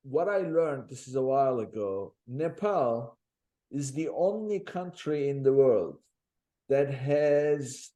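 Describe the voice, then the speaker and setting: A man's voice: medium pitch, dark tone. A man hosting an interview, chatty and prone to long storytelling asides with hesitant fillers. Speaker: podcast host.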